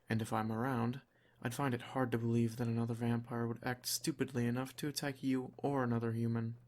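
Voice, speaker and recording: A man voicing a protective, possessive vampire lord in an ASMR roleplay, speaking in a measured, reassuring, gently commanding way. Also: medium pitch, lightly acted. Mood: calm, possessive. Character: possessive, protective male vampire lord, soft-spoken and teasing. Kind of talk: ASMR roleplay, scripted intimate monologue.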